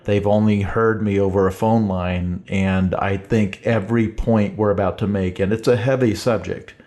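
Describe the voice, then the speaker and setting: A man's voice: on the low side, steady tone, moderate pace, darkish tone. A man talking on a casual podcast with dry, mock-boastful humor. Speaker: podcast guest, author.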